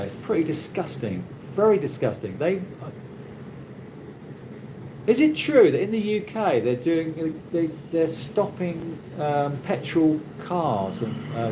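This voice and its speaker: Chatty British man, lively and rambling. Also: on the high side. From Britain. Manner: rambling, halting, with many restarts. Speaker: livestream host reading viewer comments. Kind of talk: casual live chat. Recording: noisy ambient background.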